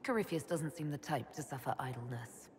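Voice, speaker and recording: A woman's voice: deep voice, fairly quick, darkish tone, natural voice. An actress playing a confident female adventuring leader in a video game, dry and decisive. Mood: wry, probing. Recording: clear background ambience noise.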